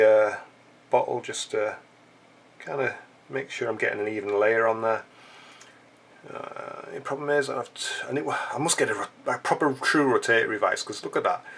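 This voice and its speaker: Man, stuffy nose, husky rasp, chatty joking tutorial host. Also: mid-range voice, a bit bright. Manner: chatty, joking asides while working. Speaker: fly-tying channel host. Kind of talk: casual tutorial chat.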